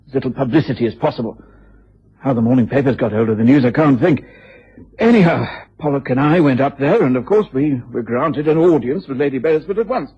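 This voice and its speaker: An actor playing a cautious, deferential, hesitant police inspector in a radio drama, with a British accent. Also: male voice, mid-range voice, breathy edge. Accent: British English. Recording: muffled, hazy old radio drama recording.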